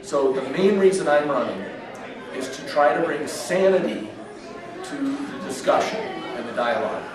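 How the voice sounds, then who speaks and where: A man in his fifties from Northern California, hoarse with a cold, giving an earnest campaign speech in a restaurant. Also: a little high, moderate pace. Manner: earnest, steady, heavy with figures. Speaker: political candidate. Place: restaurant function room. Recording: echoey room from far off, crowd murmur. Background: crowd chatter.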